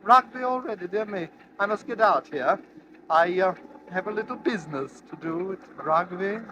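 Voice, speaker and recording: A man's voice: high-pitched, animated, moderate pace, sharp tone. An actor playing a sly, genteel man who chattily and smugly confides a secret, in 1940s radio thriller style. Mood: brisk, genteel. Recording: noisy old 1940s radio recording.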